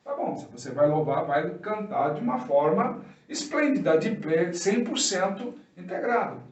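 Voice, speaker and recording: High-voiced Brazilian man preaching, echoey room. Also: expressive, normal speed, darkish tone. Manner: didactic, colloquial, posing questions and answering them. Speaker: preacher giving an opening teaching. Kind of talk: informal extemporaneous sermon. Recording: roomy, echoey, distant microphone.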